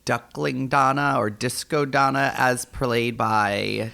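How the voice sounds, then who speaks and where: Very bright-voiced man co-hosting a podcast. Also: mid-range voice, fairly even intonation, takes it slowly, creaky. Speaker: podcast co-host. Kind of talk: casual podcast chat.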